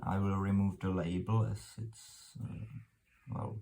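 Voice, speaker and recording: A man with a Czech accent, a native Czech speaker, presenting an informal unscripted explainer in a halting, hesitant way with formal phrasing. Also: low-pitched, level tone, creaky, dark tone. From Czech Republic.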